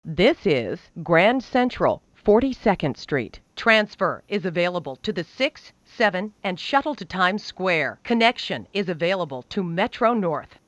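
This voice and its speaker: A woman delivers scripted train announcements slowly and deliberately. Her intonation rises and falls clearly, and each phrase is carefully enunciated. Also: fairly low pitch, sing-song, dry recording. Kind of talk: scripted automated transit announcements.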